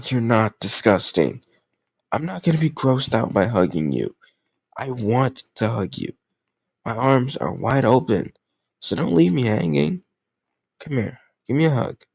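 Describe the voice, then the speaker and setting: A lovesick, obsessive kidnapper acted by a man speaks in an intimate audio roleplay. His voice has plenty of creak and sits in a very dry space. He is sweet and earnest, reassuring his captive crush in an awkward, doting, conversational manner. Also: medium pitch, darkish tone, no echo, heavily acted. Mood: tender, adoring.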